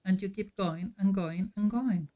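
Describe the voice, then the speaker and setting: A woman streamer talks to her chat in a friendly, relaxed way. Her voice is dark and mellow. She speaks slowly and takes her time. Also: mid-range voice. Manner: friendly and unhurried, chatting directly to chat. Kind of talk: casual chat with stream viewers while playing.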